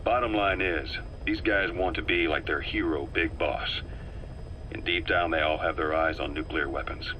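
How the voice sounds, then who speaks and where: A shrewd intelligence officer from a war game, speaking in a deep voice with a bright, forceful edge. His delivery is measured and persuasive, with a dry sardonic streak. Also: a little hiss or hum, low-quality recording. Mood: knowing. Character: shrewd male intelligence officer, calm and knowing. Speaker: advisor on a recorded tape. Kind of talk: video game voice acting.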